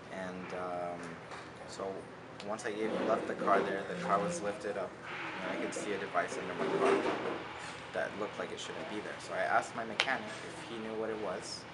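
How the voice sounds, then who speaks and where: A young man from California, a college student, calmly answering interview questions in a measured way with some fillers. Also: low-pitched. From Santa Clara, California. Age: young adult. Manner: calm, measured storytelling with fillers. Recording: loud background noise.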